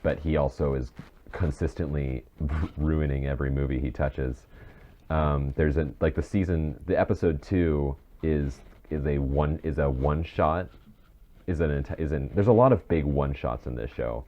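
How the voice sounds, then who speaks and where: A man's voice: extremely low pitch, moderate pace. A man hosting a podcast in casual chat about film and TV, rambling and often restarting his phrases. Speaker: podcast host. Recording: dull muffled mic with faint noise.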